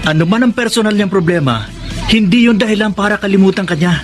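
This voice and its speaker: Brash, urging young pal, played by a male actor, high-pitched, radio drama with music behind. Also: quick pace, lightly acted. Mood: scolding, urging. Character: blunt, teasing young male best friend. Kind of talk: Filipino radio drama acting.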